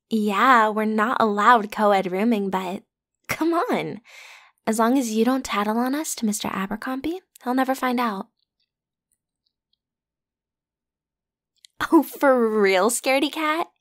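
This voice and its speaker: A woman playing a popular, breezy, pushy teenage schoolgirl in an ASMR roleplay, talking casually and teasingly as if to one listener. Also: fairly high pitch. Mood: teasing, coaxing. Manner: playful, teasing, casual youthful phrasing with giggles. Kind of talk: ASMR roleplay, one-sided conversational acting.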